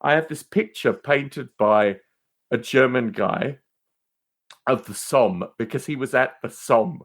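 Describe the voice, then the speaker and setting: A man's voice: mid-range voice, a little slow, vocal fry. A man with a mid-range voice chats as a guest on a podcast. He talks in a loose, digressive way, stressing his points emphatically and slipping into mild exasperation. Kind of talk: casual, rambling podcast banter.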